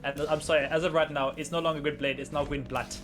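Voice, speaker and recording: A man casting a live esports match, with fast, playful, slangy banter. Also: a little high, steady tone, over loud music. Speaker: esports caster. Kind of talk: live play-by-play commentary.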